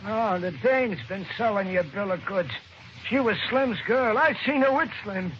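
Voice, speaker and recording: A man's voice: high-pitched, moderate pace, natural voice. An American actor playing a shifty, scared hobo pleading for his life in slangy, ungrammatical speech, in an old radio crime drama. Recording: dull old radio recording, faint noise.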